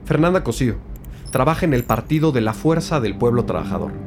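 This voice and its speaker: An actor playing a helpful, low-key tech investigator, informal and matter-of-fact, in an audio drama. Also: male voice, expressive, brisk, over music, close to an everyday voice.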